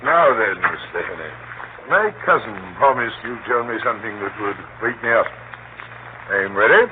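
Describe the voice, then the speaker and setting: An actor playing a hearty, shrewd senior official in a 1930s radio drama. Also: male voice, lowish voice, expressive, moderate pace, lightly acted. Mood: shrewd, businesslike. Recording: scratchy, tinny 1930s radio broadcast.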